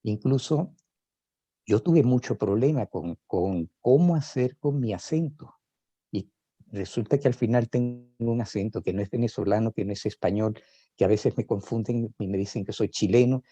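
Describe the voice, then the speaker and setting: A man's voice: on the low side, normal speed, dark tone. An older man, a psychoanalyst, reflecting on his own life in a hesitant way, with many fillers and restarts. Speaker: psychoanalyst sharing personal experience. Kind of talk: reflective spoken testimony. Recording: dull lo-fi sound.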